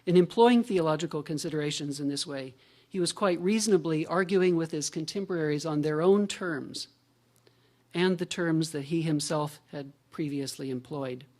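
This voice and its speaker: A male professor giving a measured, scholarly lecture and answering questions. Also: a little high, darkish tone. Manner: measured, scholarly, thinks aloud with restarts.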